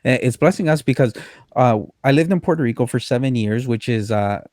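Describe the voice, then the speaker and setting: A man hosting a faith podcast interview, speaking in a halting, sentimental way with many restarts. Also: mid-range voice, a little mellow, no echo, studio quality. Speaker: podcast host. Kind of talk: conversational interview.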